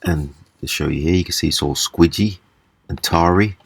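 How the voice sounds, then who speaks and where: Very deep-voiced man demonstrating a repair. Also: creaky, slightly rough, dry recording. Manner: halting, step-by-step explaining. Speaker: repair video presenter. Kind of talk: casual hands-on repair demonstration. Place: small indoor room at a table.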